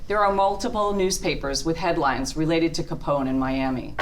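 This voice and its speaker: Low-voiced woman narrator. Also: moderate pace. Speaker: stage-direction narrator. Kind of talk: scripted narration, reading screen directions. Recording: faint noise and room ambience.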